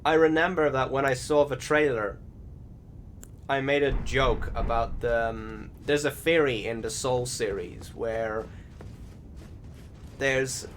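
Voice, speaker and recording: A man's voice: mid-range voice, slow pace, long pauses, a little hiss or hum. A man giving casual, rambling voice-over commentary on a video game, often hesitating and searching for words. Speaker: gaming channel host. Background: music behind the voice.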